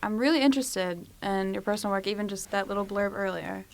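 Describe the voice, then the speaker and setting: A woman's voice: mid-range voice, quick pace, creaky. A woman hosting an interview, asking questions and reacting encouragingly. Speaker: podcast host. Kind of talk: casual interview conversation.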